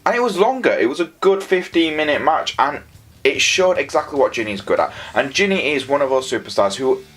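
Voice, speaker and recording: A man's voice: fairly high pitch, very animated, fairly quick, a bit bright, slightly rough. A man with a Yorkshire accent gives an enthusiastic, opinionated, casual review as a wrestling show reviewer. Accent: Yorkshire English. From Leeds, England. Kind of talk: casual scripted-free review commentary.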